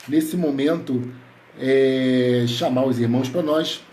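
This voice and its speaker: A man's voice: medium pitch, takes it slowly, a little mellow. A male pastor with a slightly nasal voice from a head cold, praying fervently and speaking to his congregation from home over a headset. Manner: fervent prayer full of repeated invocations. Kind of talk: prayer and devotional address. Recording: echoey room, faint background noise.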